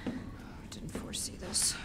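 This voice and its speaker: A woman's voice: normal speed, in a whisper, lightly acted. A woman playing a cold, preoccupied middle-aged scientist with a curt, dismissive manner in a game cutscene. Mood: detached, curt. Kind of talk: dramatic game cutscene acting. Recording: clear background game ambience noise.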